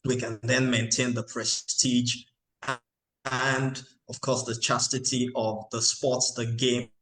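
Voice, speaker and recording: A man's voice: mid-range voice, flat delivery, slow, a bit bright, low-quality recording. Man moderating an online panel. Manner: conversational, with fillers and light jokes. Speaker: webinar moderator. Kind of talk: webinar panel hosting.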